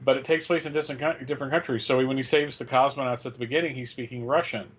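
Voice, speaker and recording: A man's voice: mid-range voice, fairly even intonation, fast, breathy edge. A slightly lo-fi recording of a man co-hosting. He chats casually in a mid-range voice. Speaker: co-host. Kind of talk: casual chat.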